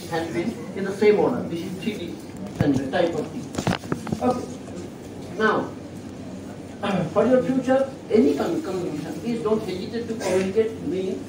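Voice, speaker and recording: Soft-spoken male professor, Indian English accent, raised pitch, lecturing haltingly; recorded from afar in a reverberant hall. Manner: halting, with frequent fillers and self-corrections. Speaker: chemistry professor. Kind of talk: classroom lecture. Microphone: fixed microphone at a distance. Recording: echoey hall, distant mic, noisy.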